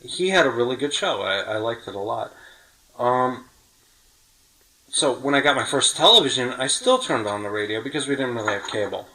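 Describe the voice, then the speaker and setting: A man's voice: mid-range voice, very animated, normal speed, pushed and bright, poor audio. A middle-aged man with an American accent, hosting a podcast in casual, reminiscing chat. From United States. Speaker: podcast host.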